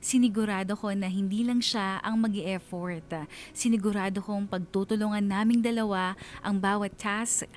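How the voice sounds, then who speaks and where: Reflective Filipino woman of twenty-nine, voiced by an actress, medium pitch, confiding radio drama narration, faint background ambience. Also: steady tone, normal speed, darkish tone, a little hiss or hum, lightly acted. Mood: fond, reflective. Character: wistful twenty-nine-year-old female bank manager recounting lost love.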